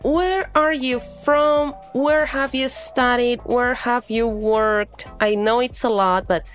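A woman's voice: fairly high pitch, slow, faint music behind. A woman hosting a podcast interview in a conversational style. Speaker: podcast host.

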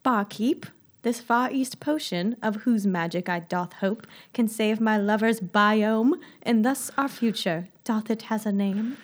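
A woman's voice: medium pitch, a little slow, close to an everyday voice. A woman playing a devoted, melodramatic noble lady with mock-archaic wording in an amateur comic period play. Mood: hopeful.

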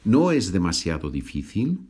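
A man's voice: deep voice, huge pitch swings, normal speed, dark tone, husky. A man hosting a language-learning podcast, patiently repeating and translating each sentence. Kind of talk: slow bilingual teaching podcast.

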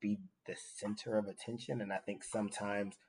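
A man's voice: on the low side, flat delivery, a little fast, darkish tone. A man co-hosting a casual podcast chat, earnest and thoughtful, with many fillers.

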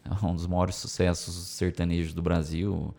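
Deep-voiced Brazilian man with a country twang. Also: normal speed, vocal fry. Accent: Brazilian Portuguese with a country twang picked up from the Center-West. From São Paulo state, Brazil. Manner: storytelling, relaxed, many fillers. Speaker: music publisher guest. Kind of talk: casual interview talk.

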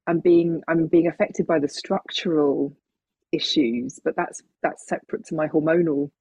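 A woman's voice: low voice, steady tone, moderate pace, darkish tone, breathy edge, no echo. A middle-aged woman in reflective, casual conversation, speaking thoughtfully and hesitantly on a muffled online-call recording. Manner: hesitant, thoughtful, chuckles while talking.

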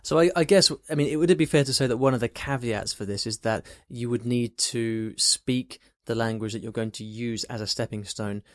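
A man's voice: mid-range voice, a little fast, few pauses, no room sound, studio quality. A man hosting a podcast interview, recapping a listener question and asking friendly follow-ups. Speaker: podcast host.

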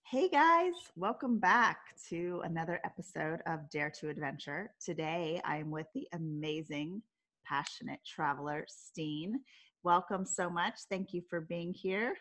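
A woman's voice: fairly low pitch, expressive, unhurried, a bit bright. A woman hosting a podcast, upbeat and enthusiastic as she welcomes and questions her guest. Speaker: podcast host. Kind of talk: podcast interview.

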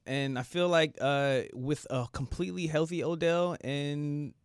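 A man co-hosting a casual sports podcast, his voice a bit lower than usual from illness, speaking in a relaxed way with brief replies. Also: unhurried, smooth, no room sound, clean studio sound. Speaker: co-host.

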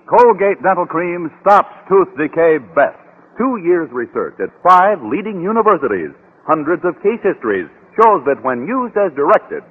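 Male commercial announcer, declamatory, lively pitch swings, mid-century radio style, thin narrow-band sound. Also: husky. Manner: emphatic, declamatory sales delivery. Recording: tinny, narrow old radio recording.

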